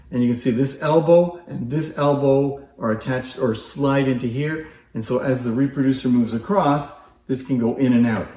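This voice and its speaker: The room echoes clearly around a man's medium-pitched voice. He explains things informally, like a presenter giving a hands-on demonstration. His delivery is conversational and appreciative. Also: a little mellow, a little hiss or hum. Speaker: presenter showing antique phonographs.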